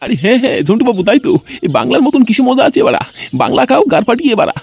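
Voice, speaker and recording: A man voicing a crude, scheming, boozy village fellow in an amateur comedy cartoon, excitable and swearing freely. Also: very high-pitched, very fast, gravelly, dull audio, very noisy, full character voice. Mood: jolly, chummy.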